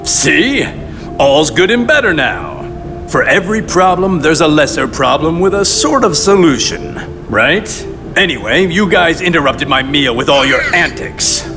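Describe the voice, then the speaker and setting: An actor playing a glib, self-important crime boss in a video game cutscene, talking with pompous mock courtesy. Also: male voice, a little high, very animated, a little slow. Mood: smoothly bargaining. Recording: long reverb with loud music behind. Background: music.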